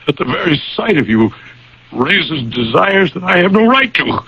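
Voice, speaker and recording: An actor playing an anguished, self-loathing older professor in a vintage radio drama, speaking in halting, repeated phrases. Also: male voice, sits high, sing-song, sharp tone, slight background noise, full character voice. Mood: anguished confession. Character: tormented, self-loathing old professor, father of the groom. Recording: dull vintage radio broadcast recording.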